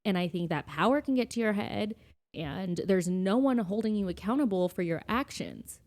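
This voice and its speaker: A woman's voice: mid-range voice, lively intonation, moderate pace, a little mellow. A woman co-hosting a podcast in casual, informal conversation, checking in with her listener as she makes points. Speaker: podcast co-host.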